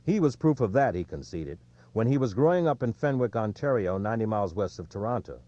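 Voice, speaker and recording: Expressive male audiobook narrator, slightly dull sound. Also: lowish voice, a little fast.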